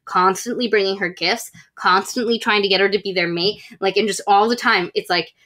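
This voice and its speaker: A young woman co-hosting a casual book podcast, retelling stories in an animated, expressive way with acted-out asides. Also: mid-range voice, a little fast. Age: young adult. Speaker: podcast co-host. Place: small room with bookshelves, video call.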